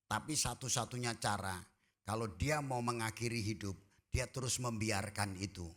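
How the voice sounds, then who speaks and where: A man preaching to his congregation, his voice bright and forward. He jokes with the people in the pews, then climbs into loud, shouted emphasis before dropping back to quiet asides. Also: on the low side, normal speed. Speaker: pastor. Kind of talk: sermon. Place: church.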